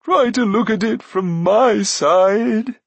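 A man's voice: sits high, takes it slowly, gravelly, slightly rough, no echo, pitched above the performer's own voice, full character voice. A man voicing a cowardly, self-pitying little giant in a fantasy audiobook, pleading and wheedling plaintively. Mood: hurt, plaintive.

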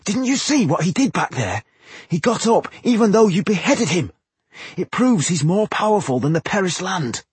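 A man voicing an earnest dwarf scholar hero in a storybook, speaking urgently in a husky voice. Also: high-pitched, sing-song, normal speed, no room sound, pitched above the performer's own voice, heavily acted. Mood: insistent.

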